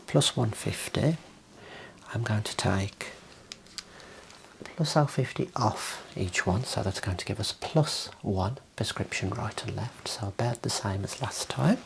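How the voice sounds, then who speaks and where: A man in his thirties whispering gently and soothingly in an ASMR roleplay as an optician, close to the mic in a small room. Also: fairly low pitch, unhurried, creaky, pauses often, slight background noise, poor audio. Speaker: ASMR performer playing an optician.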